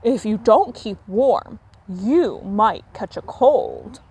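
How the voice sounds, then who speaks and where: A woman voicing a stern but caring father raccoon in a storybook, speaking in a measured, cautioning way. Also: medium pitch, sing-song, dark tone, breathy edge, full character voice. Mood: firm.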